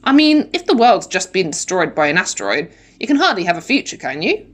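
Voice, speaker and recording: Animated woman reading a children's audiobook. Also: fairly low pitch, fairly quick. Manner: animated storytelling with dramatic emphasis. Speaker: primary school teacher reading an audiobook. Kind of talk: expressive children's audiobook narration in first person.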